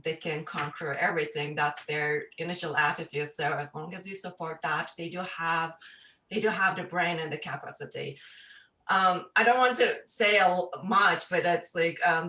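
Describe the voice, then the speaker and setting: A woman's voice: sits low, bright, forceful tone. A middle-aged woman, a parent and researcher speaking thoughtfully on a video call, with many fillers and restarts. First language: Turkish. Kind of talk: casual panel remarks. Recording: echoey room, distant mic.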